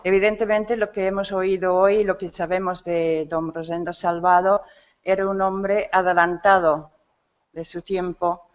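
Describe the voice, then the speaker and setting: A symposium speaker giving an academic lecture, halting often and restarting phrases while searching for words. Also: mid-range voice. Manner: halting, many restarts and self-corrections; searches for words in a rusty second-nature language. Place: conference hall. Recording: dull lo-fi lecture recording.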